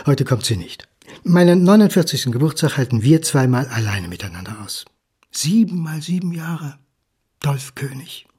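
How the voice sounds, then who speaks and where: Husky, nervous middle-aged son. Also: male voice, mid-range voice, darkish tone. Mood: hopeful, brittle. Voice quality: husky, breathy. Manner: theatrical, halting one-sided conversation, swinging into whispers. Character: nervous, wounded son of 49, sardonic and over-eager. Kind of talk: audio drama acting.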